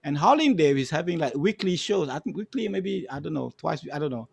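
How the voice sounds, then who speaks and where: A man's voice: on the high side, lively intonation, fairly quick, gravelly, husky, dry recording. A middle-aged man with a Malaysian accent, telling anecdotes casually and emphatically, repeating phrases and often saying "you know". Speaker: guest storyteller and music archivist. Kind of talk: casual anecdotal storytelling on a panel.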